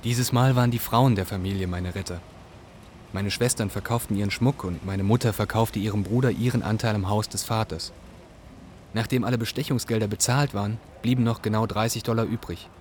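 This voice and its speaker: A man's voice: on the low side, steady tone, brisk, darkish tone, a little hiss or hum, natural voice. A man playing a hunted, resourceful young Iraqi fugitive, narrating earnestly and evenly in a literary radio drama. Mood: earnest recounting.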